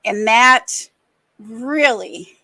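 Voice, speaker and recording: A middle-aged woman from the American Midwest, hosting a podcast and speaking candidly and reflectively, often pausing and restarting. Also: fairly high pitch, gravelly, sharp tone, dry recording. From Racine, Wisconsin, USA. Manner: earnest, reflective, halting. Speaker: podcast host. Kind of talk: candid personal conversation.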